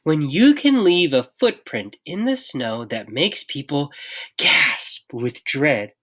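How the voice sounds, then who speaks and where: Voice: mid-range voice, very animated, takes it slowly, fairly bright tone, voice pushed up, exaggerated voice. A storybook reader voicing a bossy, stern big sister yeti with emphatic delivery. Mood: bossy, dismissive.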